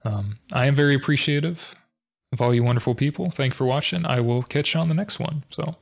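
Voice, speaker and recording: A monotone man walks through his code aloud, low-key and thinking as he goes. His voice sits at an ordinary pitch with a gravelly, creaky texture. He sounds like a casual coding tutorial host at his desk. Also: a little fast. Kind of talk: casual screen-recorded coding walkthrough.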